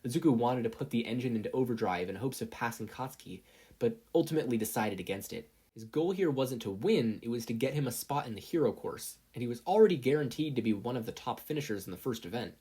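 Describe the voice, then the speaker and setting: Audiobook-style narrator: medium pitch, husky texture, animated scripted storytelling. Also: fast. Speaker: podfic narrator.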